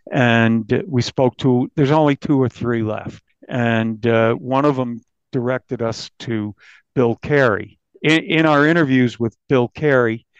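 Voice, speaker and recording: An American man with a medium-pitched voice recounts his reporting in conversation. He comes across as an interviewed investigative journalist. The sound is very dry and slightly dull. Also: clean tone, dry recording.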